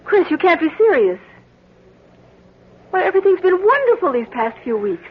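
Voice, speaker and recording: A trusting, emotional wife in a vintage radio thriller. Her high voice swoops expressively with a gushing, fluttery delivery, heard through a muffled old broadcast recording. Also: sing-song, normal speed. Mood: shocked. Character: devoted, trusting, emotional wife, easily frightened. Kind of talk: 1940s radio drama acting.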